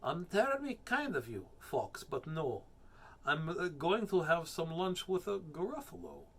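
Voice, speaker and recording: A man's voice: on the high side, sing-song, a little slow, vocal fry, a bit bright, pitched above the performer's own voice, heavily acted. A man voicing a small, crafty storybook mouse who is exaggeratedly polite and boastful, with a put-on accent.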